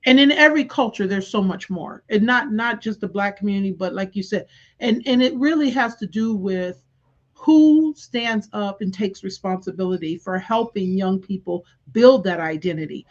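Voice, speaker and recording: A woman's voice: medium pitch, normal speed, lo-fi sound. A woman educator guest speaking earnestly and reflectively in a casual interview, often restarting her sentences as she thinks.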